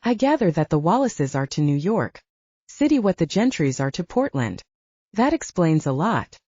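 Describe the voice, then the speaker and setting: A woman's voice: on the low side, very animated, normal speed, husky, no echo. Woman reading as a kindly, earnest old grandfather. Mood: matter-of-fact. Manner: earnest, gentle, coaxing. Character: kindly, stubborn elderly grandfather, a Bigfoot enthusiast. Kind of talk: audiobook character dialogue. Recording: dull, slightly lo-fi, dry recording.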